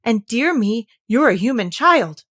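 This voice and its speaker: Young storybook fawn, played by a woman, gentle and simple, with a soft, sweet voice. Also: fairly high pitch, big pitch swings, unhurried, husky, dry recording, clean studio sound, voice pushed up, heavily acted. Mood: delighted. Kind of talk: children's storybook character reading.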